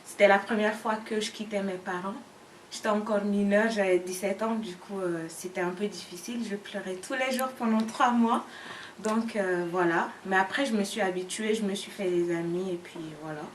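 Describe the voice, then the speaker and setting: A woman's voice: medium pitch, steady tone, moderate pace. A woman, a student talking casually in an interview. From Mali. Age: 20s. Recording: echoey room, distant mic, faint noise.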